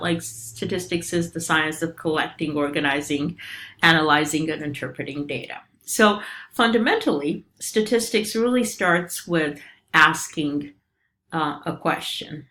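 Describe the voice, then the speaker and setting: A middle-aged woman with a Romanian accent, a professor giving a recorded course introduction in a relaxed, explanatory way with frequent fillers. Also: lowish voice. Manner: informal, many ums and uhs, explanatory. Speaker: statistics professor.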